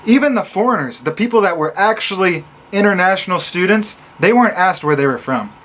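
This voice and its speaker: Young man from Illinois, college vlogger, high light voice, sarcastic storyteller who acts out others' lines. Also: pushed and bright. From Illinois, USA. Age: young adult. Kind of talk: casual storytelling vlog.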